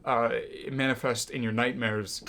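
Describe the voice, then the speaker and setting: A young man co-hosting a student podcast, talking casually about movies with animated, hyperbolic reactions. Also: medium pitch, unhurried. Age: young adult. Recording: noticeable background room noise.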